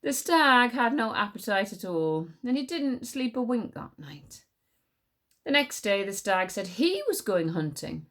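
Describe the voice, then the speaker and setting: A woman reading a story aloud as a teacher would, in an expressive storybook narration. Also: medium pitch, measured pace.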